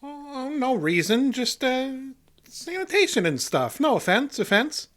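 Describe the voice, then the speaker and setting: An actor playing a nervous, meek, folksy sidekick who stammers politely in a comic old-time radio play. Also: male voice, extremely high pitch, very animated, a little slow, fairly bright tone, heavily acted. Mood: bashful, evasive. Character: nervous, bashful, honest-seeming male sidekick, meek and folksy.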